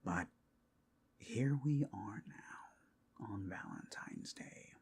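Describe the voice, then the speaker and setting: A man playing an obsessive, lovesick captor in an intimate roleplay ASMR, halting and often whispering, both tender and unsettlingly intense. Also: lowish voice, animated, full character voice. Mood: creepy, doting. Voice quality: breathy, husky, often whispering. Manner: halting, swinging from hushed tenderness to giddy intensity. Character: obsessive, lovesick male captor, creepy and doting.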